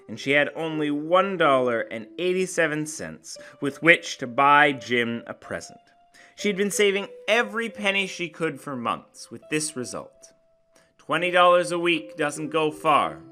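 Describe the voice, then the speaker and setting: A man giving a theatrical, deliberate dramatic reading of a literary short story in an expressive storyteller's manner. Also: fairly high pitch. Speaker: narrator and channel host. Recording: acoustic guitar music behind the voice. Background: plucked guitar music.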